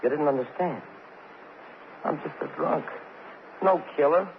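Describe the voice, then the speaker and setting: Rambling gutter drunk, muffled old radio. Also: male voice, on the high side, creaky, slight background noise. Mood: anguished, pleading. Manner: halting, repetitive, stammering, street slang. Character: rambling, self-pitying gutter drunk man, cornered and pleading. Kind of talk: 1950s radio crime drama acting.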